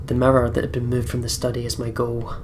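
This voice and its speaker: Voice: mid-range voice, monotone, fast talker, slight background noise. A let's play host reading game narration aloud dramatically, with casual, sweary commentary.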